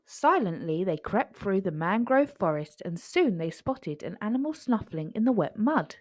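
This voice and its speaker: A woman narrating a children's picture book in an expressive storytelling style. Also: mid-range voice, normal speed, no echo.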